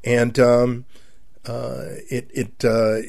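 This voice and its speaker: A man in his seventies hosting a conservative radio talk show, folksy and halting, full of fillers and repeated words and often calling his listeners "my friends". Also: medium pitch, completely flat delivery, vocal fry, a little mellow, no echo, clean studio sound. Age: 70s.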